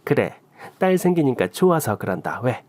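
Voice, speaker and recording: A man's voice: mid-range voice, big pitch swings, normal speed, darkish tone, dry recording, lightly acted. A man voicing an affectionate, bossy elderly mother-in-law who scolds fondly, in a radio story. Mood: playful, doting. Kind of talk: Korean radio story acting.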